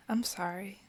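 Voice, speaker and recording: A woman playing an anxious, caring young fugitive in an audio drama, soft, worried and hesitant. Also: mid-range voice, slightly rough, dry recording, heavily acted. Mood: guilty, worried.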